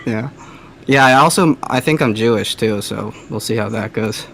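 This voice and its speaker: A male caller with a soft, feminine-sounding voice, talking hesitantly and rambling with lots of fillers. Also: fairly low pitch, moderate pace, gravelly, slight background noise, poor audio. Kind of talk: casual phone call.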